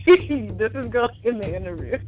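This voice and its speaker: High-pitched man, playful magazine interviewer, dull lo-fi sound, faint music. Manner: chatty, playful, laughs often. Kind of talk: interview questions. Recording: muffled lo-fi recording with faint music.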